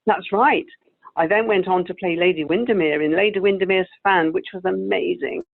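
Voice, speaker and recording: Woman acting a creaky, genteel elderly actress. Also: on the low side, normal speed. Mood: nostalgic. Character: wistful, genteel elderly actress, sweetly vain and persistent. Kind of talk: amateur radio play acting. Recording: tinny, phone-like video call audio.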